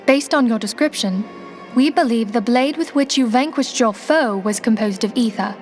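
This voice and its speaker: A woman playing a composed, dignified noblewoman leader in a fantasy game, formal and gravely earnest. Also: on the high side, moderate pace, soft background music, lightly acted. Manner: formal, poised, careful phrasing. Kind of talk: fantasy game cutscene acting.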